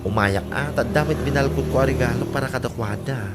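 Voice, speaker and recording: An actress playing a frank, gossipy middle-aged village neighbor in a comedy radio drama, with an Ilocano accent. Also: female voice, a bass voice, a little fast. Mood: chatty. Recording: very noisy background.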